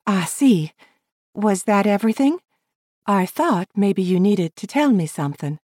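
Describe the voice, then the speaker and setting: A woman's voice: mid-range voice, expressive, a little slow, darkish tone, dry recording, clean studio sound, pitched above the performer's own voice. A woman voicing a polite, earnest young frontier lady who speaks formally. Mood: inquiring.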